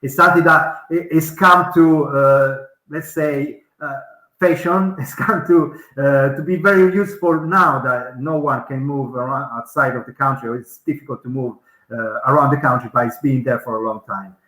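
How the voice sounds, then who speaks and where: A man hosting a live-stream Q&A over a video call, animated and posing hypothetical examples. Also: mid-range voice, normal speed. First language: Italian. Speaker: host. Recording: roomy echoey video call.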